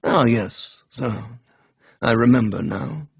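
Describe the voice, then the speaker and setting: A man voicing a deferential English gentleman's valet in a comic radio reading, measured, formal and deadpan. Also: mid-range voice, vocal fry, husky, muffled recording, exaggerated voice. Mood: calm deference.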